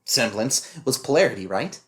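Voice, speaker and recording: A man's voice: mid-range voice, expressive, normal speed, lightly acted. A man playing an awkward, unsure young novice fighter in a fan audio drama, hesitant and sheepish. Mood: curious, unsure.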